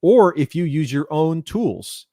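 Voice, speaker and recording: A man in his thirties giving an explanatory technical presentation in a measured, instructional way, speaking into a headset. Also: on the high side, lively intonation, mellow, dry recording, clean studio sound. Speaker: presenter, documentation content developer. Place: small indoor room, home video call.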